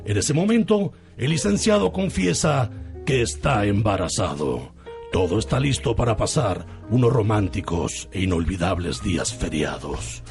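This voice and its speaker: A man's voice: on the low side, lively intonation, normal speed, gravelly, a little mellow, soft background music. A man with an accent from Spain, narrating comic radio in a theatrical, mock-grandiose manner. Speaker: radio segment host and narrator.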